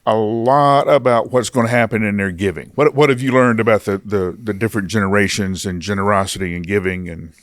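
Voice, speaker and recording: A man's voice: on the low side, a little fast, few pauses, dry recording, clean studio sound. A middle-aged man hosting a casual interview, asking questions and affirming his guest. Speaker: interview host, pastor.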